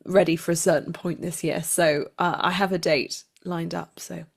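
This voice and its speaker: Woman, lowish pitch, casual interview chat, playful, self-deprecating, guest. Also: fairly even intonation, normal speed, creaky, slightly rough. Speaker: interview guest, actor and writer.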